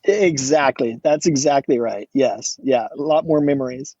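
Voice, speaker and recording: A middle-aged man from Oregon, a guest entrepreneur, talking casually in a relaxed, rambling way with many restarts. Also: medium pitch, moderate pace, no echo, lo-fi sound. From Oregon, USA. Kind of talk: casual interview talk.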